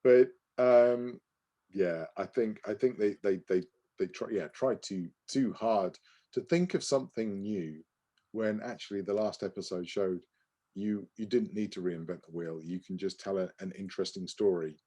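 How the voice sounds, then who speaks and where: A man co-hosting a podcast, talking casually in an animated, digressive way as he reviews things. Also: sits low, moderate pace. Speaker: podcast co-host.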